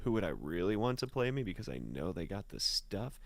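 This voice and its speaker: A man's voice: deep voice, very animated, fairly quick, gravelly, no room sound. An American man chatting casually as a guest, rambling and joking as he tells stories. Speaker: musician and guest on the show. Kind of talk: casual chat, storytelling.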